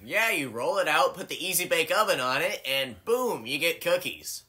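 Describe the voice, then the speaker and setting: A man's voice: fairly high pitch, big pitch swings, moderate pace, bright, forceful tone. A man voicing a laid-back, wisecracking young hedgehog hero in an improvised game fan dub, casual and joking. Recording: game music behind.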